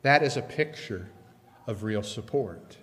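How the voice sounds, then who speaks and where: An older man preaching a sermon from a church pulpit, earnest and measured, with slow emphatic pauses. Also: on the low side, animated, a bit bright. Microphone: pulpit stand mic. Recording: long reverb of a church hall.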